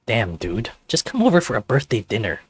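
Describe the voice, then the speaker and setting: A man's voice: fairly low pitch, wildly swooping pitch, a little fast, husky, no echo, heavily acted. A man voicing a goofy, laid-back teenage male furry best friend with casual, hesitant slacker phrasing in a visual novel. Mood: casual, relieved.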